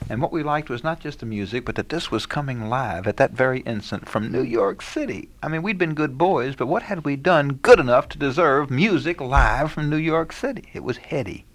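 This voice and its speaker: A man's voice: medium pitch, a bit bright. Man hosting talk radio. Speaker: radio talk show host. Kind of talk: casual studio talk radio conversation.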